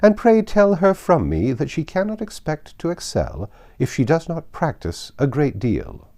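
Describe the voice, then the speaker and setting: A man's voice: a little high, huge pitch swings, unhurried, dark tone, pitched above the performer's own voice, heavily acted. A man voicing a haughty, overbearing aristocratic lady with an imperious, declamatory delivery in a classic novel reading. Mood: lecturing. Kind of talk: classic novel read aloud.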